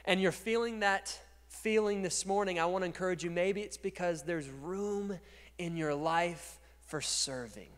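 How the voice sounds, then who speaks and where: Male pastor, 30s, higher register, energetic sermon delivery into a handheld mic, echoing church hall. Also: unhurried. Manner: energetic preaching, gesturing and moving on stage, swings from quiet to loud. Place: large dark church stage. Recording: long reverb of a large church hall.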